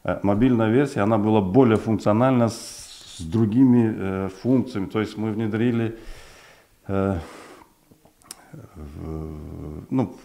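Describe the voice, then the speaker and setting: Uzbek-native guy in his 40s, low voice, lots of um and restarts, studio desk mic. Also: unhurried, warm tone. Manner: thoughtful, hesitant, many fillers and restarts. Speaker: project developer guest. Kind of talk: studio interview. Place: small television studio. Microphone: desk mic on stand.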